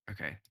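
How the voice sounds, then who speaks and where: A man's voice: dry recording, studio quality. A young man introducing his own script on a live stream, rambling and interrupting himself, from a home mic. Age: young adult. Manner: rambling, self-interrupting, animated. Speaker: playwright presenting his script. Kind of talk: casual chat.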